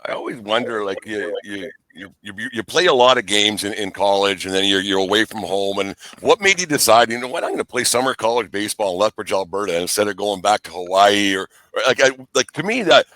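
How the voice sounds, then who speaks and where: A man's voice: lowish voice, fairly quick, hardly any pauses, a bit bright, no room sound, poor audio. A man hosting a casual sports interview, joking, teasing and rambling as he chats with his guest. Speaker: sports podcast host.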